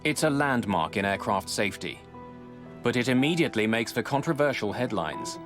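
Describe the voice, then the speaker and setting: A man giving scripted, dramatic documentary narration in a measured, grave way, close on a studio mic. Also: mid-range voice, very animated. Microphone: close studio voice-over mic. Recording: music bed under narration.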